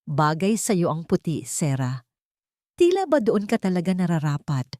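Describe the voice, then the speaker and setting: A woman voicing a gentle, protective male executive in a narrated love drama, soft-spoken and earnest. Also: fairly low pitch, sing-song, normal speed, no room sound, clean studio sound. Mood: tender. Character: gentle, protective man, admiring and serious executive.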